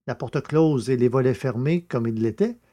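A man's voice: medium pitch, normal speed, dark tone. A man narrating a novel in a scripted, literary storytelling style. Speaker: audiobook narrator.

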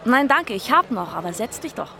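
A woman's voice: a little high, very animated, moderate pace, creaky, sharp tone, a little hiss or hum, exaggerated voice. An actress playing a casual, unimpressed young woman in a radio play. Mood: friendly, casual. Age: 20s.

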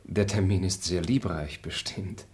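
A high-minded male traveller in a radio play, with a low voice. His speech is formal and lofty, always moralizing. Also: a little slow, a little mellow. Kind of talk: radio drama acting.

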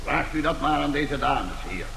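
An actor playing a stern, officious policeman who speaks formally and deliberately in a radio play. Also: mid-range voice, animated, gravelly, sharp tone. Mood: stern, official. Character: stern, officious male policeman, solemn and insistent. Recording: noisy, echoing old radio recording.

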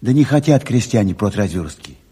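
An actor playing an earthy, worried requisition agent in a radio drama, colloquial and repeating words for emphasis, with a husky voice. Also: male voice, lowish voice, big pitch swings, a little fast, dark tone. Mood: anxious, conspiratorial. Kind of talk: theatrical Soviet radio drama acting. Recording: reverberant hall, stage recording.

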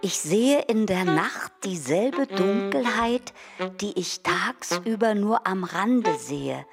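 A contented, reflective woman muses on darkness in a radio play. Her low, slow, creaky voice trails into long reverb while a saxophone plays behind. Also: expressive, over loud music. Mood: contemplative, content. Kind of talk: poetic radio play monologue.

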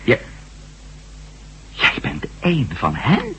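A skeptical ex-astronaut reporter in a radio play, really animated, bit of hum behind him. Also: male voice, mid-range voice, takes it slowly, heavily acted. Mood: disbelieving, urgent. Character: former astronaut turned reporter, persistent and skeptical. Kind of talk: Dutch radio drama acting.

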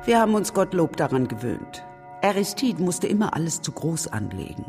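An actress playing a dry, sardonic older aunt of a wealthy family in a radio drama. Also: female voice, deep voice, sing-song, normal speed, darkish tone, faint music behind. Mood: wry.

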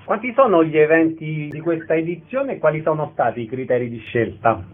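A man's voice: on the high side, animated, brisk. Male radio interviewer, thin phone-like sound. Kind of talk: radio interview, presenting guests.

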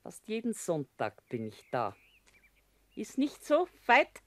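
An actress playing a homely Bavarian small-town widow who talks plainly in a radio drama. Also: female voice, mid-range voice, wildly swooping pitch, slow pace, fairly bright tone, no echo, heavily acted. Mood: plain, wistful.

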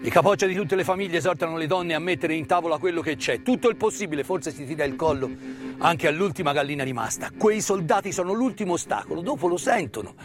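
A man giving a dramatic theatrical narration of a wartime story, measured and emphatic, with pauses and hushed phrases. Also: on the high side, expressive, soft background music. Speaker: stage actor and storyteller. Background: live musical accompaniment.

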